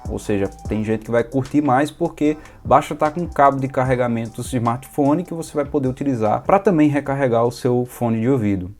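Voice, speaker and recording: A man's voice: mid-range voice, fairly quick, few pauses, a little mellow. A man with a Brazilian Portuguese accent giving an informal, organized product review in a conversational, explanatory way. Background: background music.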